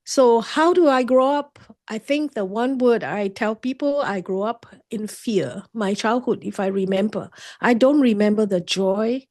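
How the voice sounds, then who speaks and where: A woman in her sixties from Singapore, a guest author telling her story earnestly, with frequent restarts and halting phrasing. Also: on the high side, a little mellow. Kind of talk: candid personal storytelling.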